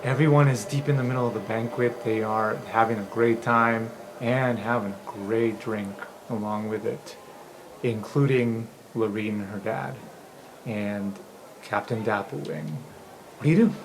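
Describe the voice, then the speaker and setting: A man's voice: fairly low pitch, unhurried, background noise. A man running a tabletop roleplaying game as game master, narrating scenes and answering players casually.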